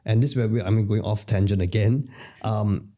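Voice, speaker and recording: A man's voice: fairly low pitch, fairly even intonation, normal speed, warm tone. A man from Singapore, hosting a casual podcast chat with rambling, joking asides. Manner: chatty, rambling, jokey asides. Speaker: podcast host. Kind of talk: casual conversation.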